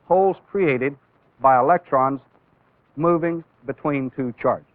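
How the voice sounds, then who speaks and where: A man giving an instructional demonstration as a training-film instructor, explaining deliberately step by step and stressing key words, on an old film soundtrack. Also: medium pitch, narrow, tinny audio.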